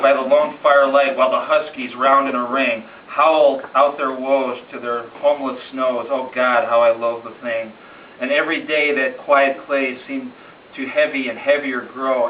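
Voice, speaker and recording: A man recites a narrative poem in a dramatic, storytelling way. His phrasing is rhythmic and emphatic, like an amateur reading aloud at home. The sound is roomy and a little dull, picked up from a distance over faint noise. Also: medium pitch. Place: room in a home, at a gathering.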